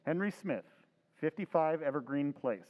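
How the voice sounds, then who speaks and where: A man plays a nervous middle-aged husband in an old-time radio play, hesitant and full of stammers. His voice runs high and animated, and the recording is dull and lo-fi. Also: moderate pace, long reverb tail, lightly acted. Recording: dull, lo-fi sound.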